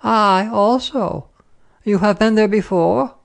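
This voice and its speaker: A woman's voice: mid-range voice, slow pace, gravelly, voice pushed up. A woman voicing a prim, nosy, smugly triumphant Frenchwoman from a story, with an insinuating, emphatic delivery. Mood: inquisitive.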